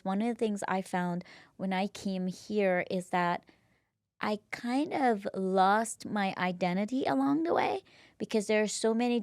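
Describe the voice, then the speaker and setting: Woman, podcast host, effusive, conversational interview style, medium pitch, very little room sound. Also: no echo, clean studio sound. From immigrant to the US from abroad. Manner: effusive, enthusiastic.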